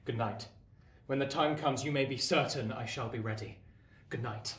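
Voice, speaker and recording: An actor playing an earnest, anxious young gentleman in radio play dialogue, polite and sincere. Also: medium pitch, normal speed, natural voice. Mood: sincere, concerned. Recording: echoey, distant, faint noise.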